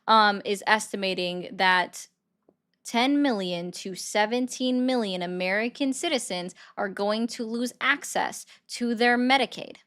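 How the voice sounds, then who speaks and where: A woman hosting a political call-in livestream, brisk and sardonic, often cutting callers off. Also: mid-range voice, a bit bright. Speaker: livestream host taking calls.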